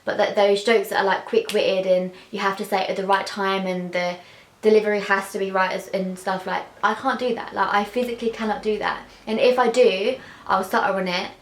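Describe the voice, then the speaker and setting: A woman's voice: medium pitch, steady tone, a little fast, slight background noise. Woman with a stutter, echoey room. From England. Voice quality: stutter with sound and word repetitions. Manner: open, heartfelt, many fillers. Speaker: vlogger. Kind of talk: candid talk to camera. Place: small bedroom indoors. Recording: echoey bedroom.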